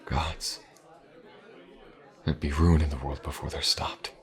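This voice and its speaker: An actor playing a gruff, wary, self-assured mercenary swordsman in a fantasy audio roleplay, speaking in a dry, terse way. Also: very deep voice, flat delivery, slow pace, exaggerated voice. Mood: grim.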